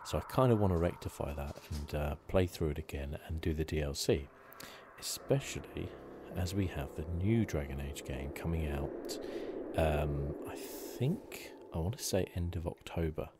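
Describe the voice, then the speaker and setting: Male gaming host, extremely low voice, gentle hesitant ASMR delivery, clear background noise on the recording. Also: moderate pace. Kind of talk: ASMR game playthrough commentary.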